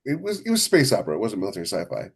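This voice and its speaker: A man's voice: on the low side, huge pitch swings, rapid-fire, husky. A man co-hosting a podcast in casual conversation, talking enthusiastically and emphatically and often repeating himself. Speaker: podcast co-host and science fiction author. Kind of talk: casual chat.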